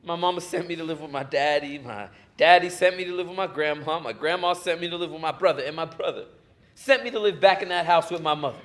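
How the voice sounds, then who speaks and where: A man's voice: a little high, fast talker, a bit bright, close to an everyday voice. A man playing a wounded young man. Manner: earnest, pained. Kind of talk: stage play acting.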